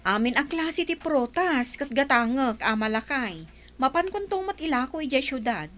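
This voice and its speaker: A woman's voice: high voice, big pitch swings, normal speed, a bit bright, a little hiss or hum. A woman playing a friendly older village woman who sells fruit in a radio drama. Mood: brisk, friendly.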